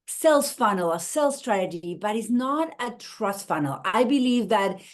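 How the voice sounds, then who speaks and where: A middle-aged woman from Argentina with an Argentine accent, a guest explaining her business approach in a call interview, earnest and a little halting. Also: medium pitch, lively intonation. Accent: Argentine Spanish. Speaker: guest, marketing strategist.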